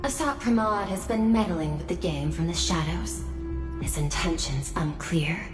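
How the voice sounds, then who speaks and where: Actress as a cool detached lady adviser in a game, low-ish, precise, echoey w music under. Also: unhurried, natural voice. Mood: grave, analytical. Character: cool, analytical female intelligence adviser, detached and formal. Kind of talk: video game voice acting. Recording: roomy echo with game music behind.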